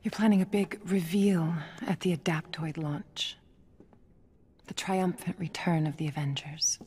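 Actress as low-voiced smug villain scientist. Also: female voice, a little mellow, lightly acted. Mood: mocking. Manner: condescending, measured. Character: smug, coolly calculating female villain scientist. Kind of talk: video game cutscene acting.

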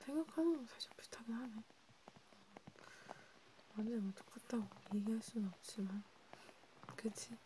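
A woman's voice: on the high side, moderate pace, long pauses, rough recording. A woman whispering breathily and hesitantly, close to the mic, in a casual ASMR roleplay conversation. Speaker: ASMR performer. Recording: steady background hiss and noise.

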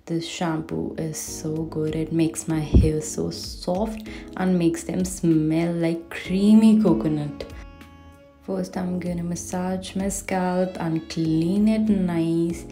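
A woman's voice: lowish voice, fairly even intonation, takes it slowly, mellow. A young woman in her twenties chatting enthusiastically through her home shower and skincare routine as a beauty vlogger. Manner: chatty, enthusiastic about products. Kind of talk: casual routine vlog voice-over. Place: bathroom at home. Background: faint music.

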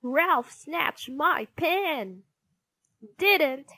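Lady putting on a bratty yelling schoolkid boy, kids story, high and shrieky, super animated. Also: very slow, dry recording, full character voice. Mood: indignant. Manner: shouting, shrieking. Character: loud, squabbling young schoolboy, indignant and excitable.

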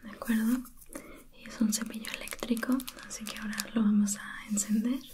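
A woman speaking in a soft, breathy whisper, gently and attentively guiding a listener through an ASMR spa roleplay as a soothing facial therapist. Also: a little high, steady tone, fairly quick.

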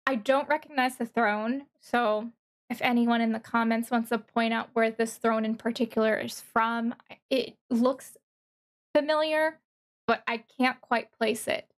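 A woman VTuber giving reaction commentary on a game trailer in a thoughtful, analytical, halting way. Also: a little high, fairly even intonation. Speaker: VTuber streamer.

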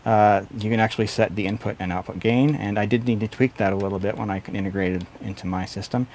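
A man's voice: lowish voice, fairly even intonation, quick pace, a little mellow, slight background noise. A man in his forties giving an explanatory product review at a desk in a small studio office. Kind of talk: explanatory gear review to camera.

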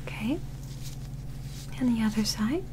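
A woman in her twenties performing a gentle, methodical ASMR medical roleplay as an alien examiner, reassuring and close to the microphone. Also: on the high side, lively intonation, a little slow, noisy background. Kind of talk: soft whispered ASMR roleplay.

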